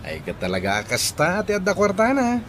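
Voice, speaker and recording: A man's voice: high voice, wildly swooping pitch, fairly quick, a little hiss or hum. A man playing a boastful, arrogant rich company boss in an Ilocano audio drama, haughty and taunting. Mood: smug, taunting.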